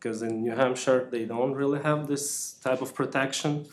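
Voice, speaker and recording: A man's voice: medium pitch, fairly even intonation, normal speed. Hesitant man in a roomy hall. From Kazakhstan. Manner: hesitant, many fillers and restarts. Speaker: used car business applicant. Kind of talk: town meeting presentation. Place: town meeting room.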